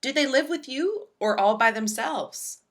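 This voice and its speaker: A woman's voice: sits high, lively intonation, measured pace, a bit bright, pitched above the performer's own voice, heavily acted. A woman voicing an earnest, inquisitive child detective in a storybook, asking eager, probing questions.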